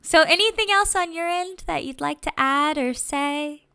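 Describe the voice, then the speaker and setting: A woman's voice: sits high, normal speed, gravelly, sharp tone, dry recording. A woman hosting a casual video chat, chatty and encouraging, with lots of fillers. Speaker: host.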